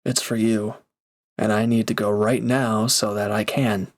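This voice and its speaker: A reformed villain acted by a man speaks in an intimate audio drama. His voice sits fairly low and moves very slowly. He is gruff but caring, halting and awkward whenever affection shows. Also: little pitch movement, close to an everyday voice. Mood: flustered, torn.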